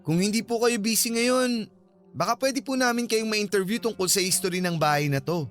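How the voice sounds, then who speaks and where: A man's voice: high voice, moderate pace, fairly bright tone, soft background music. A man playing an eager young ghost-hunting vlogger, polite and persuasive, in a Filipino radio drama.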